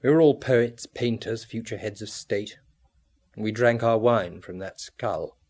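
A man's voice: on the low side, measured pace, a little mellow. An actor playing a former art student wearily recalling his youth in a radio drama. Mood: wistful. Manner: reminiscing, narrative. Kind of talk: old radio drama acting.

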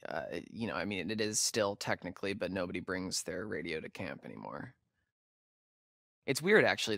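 An actor playing an awkward, nerdy young camp counselor in a video game, an American man who talks in a halting, self-conscious, easygoing ramble. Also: fairly low pitch, vocal fry, fairly bright tone, dry recording, studio quality. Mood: casual, reflective. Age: young adult. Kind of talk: naturalistic game dialogue.

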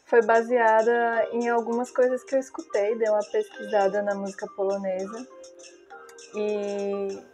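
A Brazilian woman in her thirties with a Brazilian Portuguese accent, a music producer calmly explaining how she built a track, recorded on an earphone mic in a small room. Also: mid-range voice. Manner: calm, measured, unhurried. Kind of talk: casual explainer of a music project.

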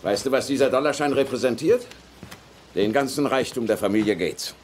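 A man's voice: medium pitch, brisk, slight background noise, close to an everyday voice. An actor playing a disillusioned middle-aged father, dry and scornful, in dubbed film dialogue. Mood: bitter, weary.

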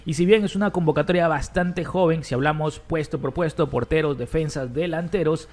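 A man hosting a football news roundup, his voice sitting a bit high. He moves briskly from item to item and reads quotes aloud. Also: a little mellow. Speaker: sports news presenter.

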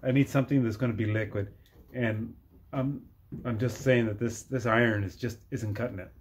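A middle-aged man giving a casual hands-on DIY demonstration, halting and thinking aloud as he works. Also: mid-range voice, fairly even intonation. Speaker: DIY video maker. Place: cluttered workshop with dirt floor.

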